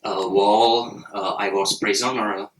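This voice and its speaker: A man in his fifties speaking at a festival panel in halting, plain English. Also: fairly low pitch, steady tone, recorded from a distance. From Czechoslovakia. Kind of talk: public panel talk. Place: event hall. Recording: roomy hall from the mic, faint noise.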